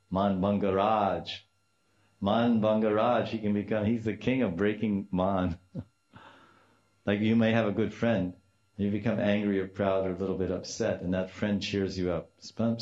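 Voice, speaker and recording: Older man with a deep voice. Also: darkish tone. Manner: storytelling with repeated phrases and Sanskrit terms. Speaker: devotional teacher. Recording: dull, slightly muffled lo-fi recording.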